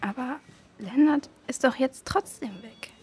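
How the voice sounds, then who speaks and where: High-voiced, sad young girl. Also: unhurried, a little mellow, slightly rough, heavily acted. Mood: grieving. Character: sad, questioning young girl recovering in bed.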